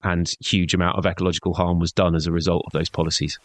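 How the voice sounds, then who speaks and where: A man's voice: low voice, level tone, fast talker, no echo. A middle-aged man, a conservationist, answering interview questions earnestly, often saying "you know". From born in Scotland, grew up in Devon. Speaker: conservationist, guest.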